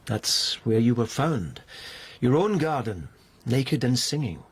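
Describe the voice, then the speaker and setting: An actor in a radio drama playing a formal police lieutenant who questions a suspect in a deliberate, insistent way. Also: male voice, medium pitch, very animated, husky, exaggerated voice. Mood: dogged questioning.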